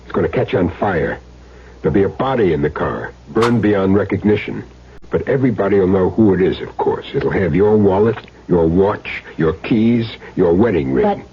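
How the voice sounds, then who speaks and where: An actor playing a calm, hard-boiled hit man with terse, sardonic delivery in an old radio crime drama. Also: low voice, normal speed. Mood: cool, instructing. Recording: noisy muffled old radio recording.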